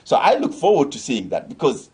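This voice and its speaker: A man's voice: medium pitch, wildly swooping pitch, a little fast, a loud crowd. An older man from Senegal, a community organization leader, talking earnestly in a discussion show and rallying listeners with repeated phrases.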